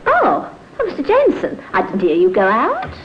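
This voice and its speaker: An obliging, fussy landlady in an old radio mystery. Her high voice leaps up and down effusively. The sound is dull and plainly noisy. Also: huge pitch swings, moderate pace. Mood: flustered, obliging. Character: fussy, obliging female boarding-house landlady. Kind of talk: old-time radio drama acting. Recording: muffled, noisy vintage radio recording.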